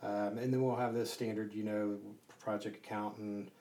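Low, even-toned man in an echoey room. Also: normal speed. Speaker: construction project team leader being interviewed. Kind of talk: conversational interview answers about a project. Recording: roomy echo, distant mic, faint noise.

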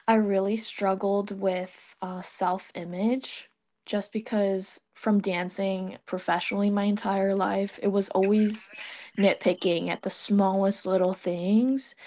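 A young woman from Vietnam, a model guest on a podcast, telling her story in an easy, relaxed way and filling pauses with ums and likes. Her voice sits at a middle pitch with a noticeable gravelly fry. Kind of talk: casual interview chat.

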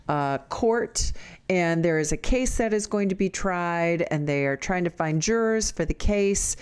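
Slow-talking older Minnesotan woman. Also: lowish voice, a little mellow, clean tone. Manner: rambling, earnest, laughs often. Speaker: podcast co-host. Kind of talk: casual chat.

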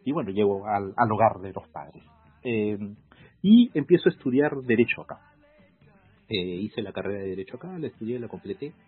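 A man's voice: fairly low pitch, lively intonation, husky, no room sound. A man in his forties from Peru with a Peruvian accent, talking reflectively as a law professor guest in an interview. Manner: measured, reflective, many fillers. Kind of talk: casual interview. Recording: phone-like call audio.

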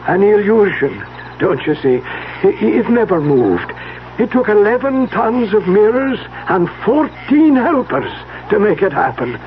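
An actor playing an elderly, deferential family servant with a halting, old-fashioned way of talking in a 1970s radio drama. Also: male voice, sits high, big pitch swings. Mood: wistful. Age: older. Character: elderly, deferential family servant, wistful about bygone magic days. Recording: tinny, noisy old radio broadcast.

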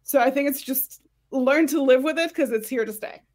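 A woman's voice: sits high, moderate pace, a little mellow. A woman speaking conversationally as a career coach giving advice on a panel.

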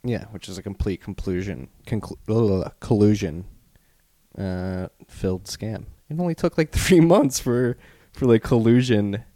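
Opinionated Philly man, low dry voice. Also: dark tone, dry recording. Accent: Philadelphia. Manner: quick agreeing interjections, opinionated rants. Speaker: podcast co-host. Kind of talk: casual podcast conversation.

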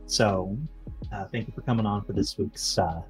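A man's voice: lowish voice, steady tone, moderate pace, soft background music, rough recording. A thirty-year-old man hosting a podcast, interviewing in a friendly, joking, upbeat way. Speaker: podcast host.

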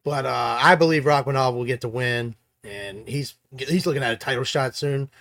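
A man's voice: medium pitch, normal speed, fairly bright tone. Upbeat man talking fight picks. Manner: enthusiastic, hedging, self-correcting. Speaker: co-host picking fights. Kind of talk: casual sports chat.

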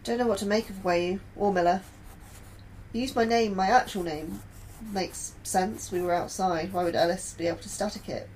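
A woman plays an anxious young science officer in a sci-fi audio drama, speaking at a middle pitch. The delivery is confiding and hesitant, like a private log, with light noise behind it. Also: steady tone, normal speed, darkish tone, lightly acted, low-quality recording.

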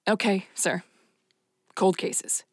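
A woman's voice: mid-range voice, steady tone, slow pace, slightly rough, no room sound, studio quality. An actress playing a bitter, demoted female police detective with a curt, sardonic manner. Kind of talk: audio drama acting with narration.

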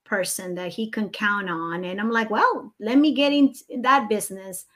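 A woman's voice: medium pitch, moderate pace, fairly bright tone. A woman from Nicaragua, a native Spanish speaker, talking as a mortgage consultant guest in a casual, friendly way with frequent ums. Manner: friendly, laughs easily, filler-heavy. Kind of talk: casual podcast interview.

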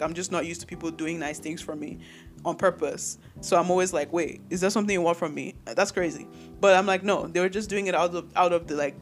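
A young man from Nigeria hosting a solo podcast, talking in a rambling, candid way, often restarting his sentences and laughing. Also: a little high. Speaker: podcast host.

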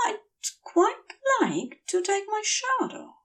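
A woman voicing a feverish, muddled male being, polite and fretful, in a soft, hesitant voice for an audio story. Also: very high-pitched, wildly swooping pitch, slow pace, recorded from a distance, voice pushed up, exaggerated voice. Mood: earnest, muddled. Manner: hesitant, plaintive.

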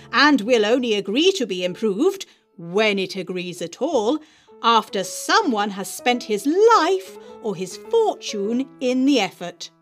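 A woman giving a scripted dramatic reading of literary prose, with theatrical, emphatic delivery and deliberate phrasing. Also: on the high side. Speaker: host and reader of dramatic readings.

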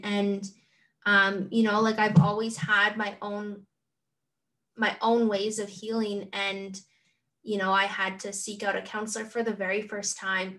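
A woman co-hosting a podcast, talking gently and haltingly with many fillers. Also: medium pitch. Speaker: podcast co-host. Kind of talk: casual conversation. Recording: distant from the mic.

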